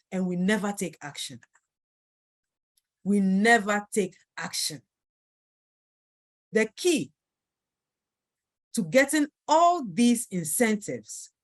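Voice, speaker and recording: A woman with a Nigerian accent giving a motivational sales training talk, stressing and repeating her key points. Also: medium pitch. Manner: emphatic, repeats key phrases. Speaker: network marketing team leader.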